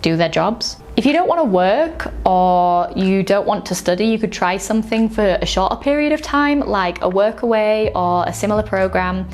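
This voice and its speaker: A young woman with a light Yorkshire accent speaks at a middling pitch with faint music behind her. She explains things in a loose, chatty way, often reaching for "like" and "um." Her tone is that of an English teacher talking straight to her viewers. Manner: chatty, with frequent "like" and "um" fillers. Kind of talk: casual explainer video.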